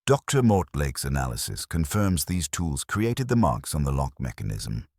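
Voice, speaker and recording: A man's voice: extremely low pitch, very animated, normal speed, a little mellow, no room sound, clean studio sound, exaggerated voice. A man voicing a diligent, earnest police sergeant who reports in a methodical, formal way within a detective story. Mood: earnest, satisfied.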